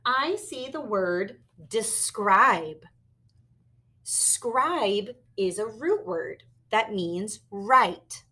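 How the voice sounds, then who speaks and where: A woman reading a children's story aloud expressively and pausing to explain words like a teacher. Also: medium pitch, lively intonation, sharp tone, husky. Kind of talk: animated children's read-aloud with word lessons.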